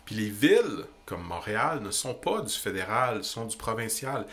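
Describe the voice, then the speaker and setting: A young man with a Québécois French accent, ranting indignantly into the camera about politics in quick, emphatic bursts. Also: on the low side, big pitch swings, fairly bright tone. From Quebec. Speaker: vlogger. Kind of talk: heated political rant.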